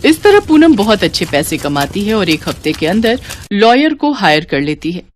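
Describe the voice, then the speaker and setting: A woman narrating a scripted moral bedtime story as an expressive storyteller. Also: medium pitch, moderate pace, a little hiss or hum.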